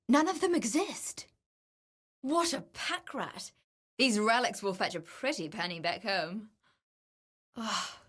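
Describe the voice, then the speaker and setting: A sardonic fantasy-game adventuress, speaks at a slow, deliberate pace in a mid-range voice. She is a treasure hunter with an eye for riches, dry and teasing, talking as if to a companion on the expedition. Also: mid-range voice. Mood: surprised, curious, then sober, troubled. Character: wry, greedy female treasure-hunting explorer in a fantasy game. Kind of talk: video game character voice lines.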